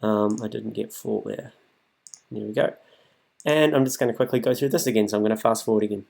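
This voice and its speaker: A man's voice: on the low side, brisk, creaky. A man presenting a music production tutorial in a casual, improvised way, thinking aloud as he demonstrates on his computer. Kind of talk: casual, improvised software walkthrough. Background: music loops from the demonstrated software.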